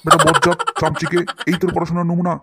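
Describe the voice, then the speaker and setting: A man's voice: high-pitched, completely flat delivery, racing, heavy background noise. A man voicing a strict, grumbling history teacher in a comedy cartoon, with a bossy, scolding manner. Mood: angry.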